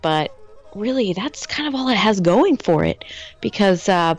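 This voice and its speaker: A woman's voice: mid-range voice, big pitch swings, measured pace, soft background music. A woman co-hosting a casual podcast chat, playful and teasing in an upbeat way. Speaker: podcast co-host.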